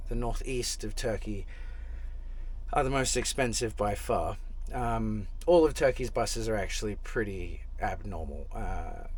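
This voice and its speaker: A young man chats loosely to his camera from inside a parked truck, his voice sitting at an ordinary middle pitch. He rambles, restarts and fills gaps with "like" and "um". A faint hum of noise sits behind him. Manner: rambling, chatty, many fillers and restarts. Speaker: travel vlogger. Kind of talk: casual travel vlog to camera.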